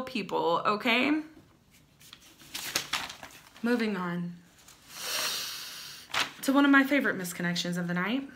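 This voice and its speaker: Bright-voiced, slow-paced young woman, theatrical. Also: lowish voice, gravelly. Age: young adult. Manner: theatrical, sardonic, emphatic pauses. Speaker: vlog host. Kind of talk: dramatic comedic reading with wry asides. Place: small plain-walled room at home.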